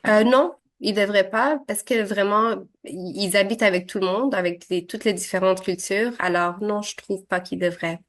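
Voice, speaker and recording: A woman's voice: medium pitch, steady tone, normal speed, hardly any pauses. Hesitant woman in her thirties. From Syria. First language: Arabic. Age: 30s. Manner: hesitant, many fillers and restarts. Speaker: interviewee. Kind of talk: casual interview answers.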